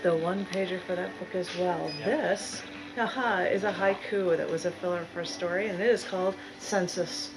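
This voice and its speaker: A woman giving a live spoken-word poetry reading in a bookstore, phrasing dramatically and deliberately with drawn-out emphasis. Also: fairly low pitch, over music, poor audio. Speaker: poet reading own work.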